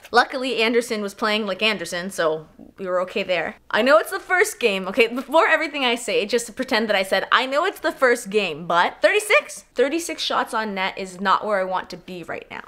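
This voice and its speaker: Young woman with a cold doing a hockey review vlog, bright voice, kinda stuffy, hyped and swearing a lot. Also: on the high side. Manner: excitable, swears casually, animated storytelling. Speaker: hockey fan vlogger. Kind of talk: casual game review vlog.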